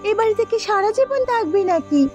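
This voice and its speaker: নারী কণ্ঠে চড়া গলার ডাকাতের বউ. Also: female voice, very high-pitched, expressive, quick pace, darkish tone. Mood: grumbling. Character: bandit's questioning, complicit wife. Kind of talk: Bengali cartoon voice acting. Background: background music.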